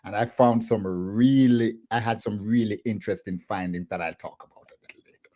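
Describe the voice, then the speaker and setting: Jamaican man, deep measured voice. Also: fairly even intonation, warm tone. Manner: measured, with hesitant fillers. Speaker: guest, professor. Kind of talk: video call interview. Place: home office. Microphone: video call.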